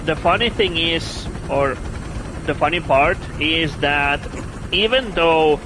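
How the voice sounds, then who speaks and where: Guy streaming a game, kinda high voice, chats w viewers, restarts a lot. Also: takes it slowly, background noise. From Finland. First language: Russian. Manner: chatty, answers viewers' chat, restarts phrases. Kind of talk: casual gameplay commentary.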